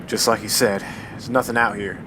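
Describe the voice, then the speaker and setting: A man's voice: fairly even intonation, moderate pace, close to an everyday voice. Man playing a plain-spoken sleuth amid train noise. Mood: measured, deliberating. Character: matter-of-fact male amateur sleuth in Old West. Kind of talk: period radio mystery drama acting. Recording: train rumble behind the voice.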